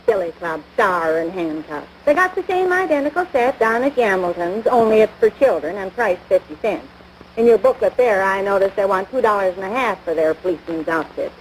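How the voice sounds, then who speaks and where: A woman's voice: mid-range voice, animated, fairly quick, natural voice. An actress playing a crabby small-town American housewife and mother in a domestic comedy, nagging in folksy, scornful talk. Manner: folksy ungrammatical speech, scornful, exasperated. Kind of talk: domestic radio-style situation comedy. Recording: noisy vintage broadcast recording.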